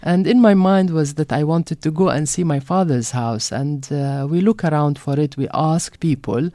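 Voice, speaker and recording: Casting: middle-aged woman author from Jordan, Arabic accent, warm mellow tone, animated storyteller, dry studio sound. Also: sits low, moderate pace, no echo. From Jordan (Amman). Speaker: author, interview guest. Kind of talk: personal storytelling in an interview. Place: broadcast studio.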